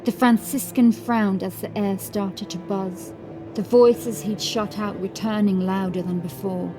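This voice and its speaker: A woman's voice: mid-range voice, mellow. A woman reading scripted horror fiction as an audiobook narrator.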